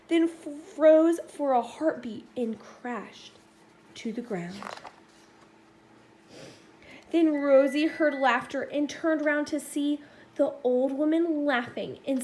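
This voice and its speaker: A woman reading a rhyming children's story aloud in an expressive narrating style. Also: high-pitched, measured pace, low-quality recording. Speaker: storybook reader. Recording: faint background noise.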